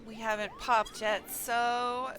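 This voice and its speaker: A woman's voice: on the high side, slow pace. A woman chatting casually during a game livestream, thinking aloud in short asides. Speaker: game streamer. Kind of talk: casual livestream gameplay chat. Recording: noisy room, steady background noise.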